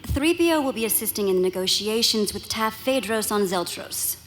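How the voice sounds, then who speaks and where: An actress in a live audio drama playing a composed, firm princess who scolds gently and patiently. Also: female voice, mid-range voice, animated, moderate pace, lightly acted. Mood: firm, coaxing. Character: composed, firm young princess and rebel leader. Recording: live stage with faint noise.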